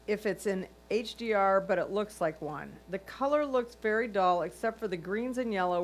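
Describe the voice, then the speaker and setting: Woman reading critique over noisy hum. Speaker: reader of judges' written comments. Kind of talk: reading written critique aloud. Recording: noisy line with low hum.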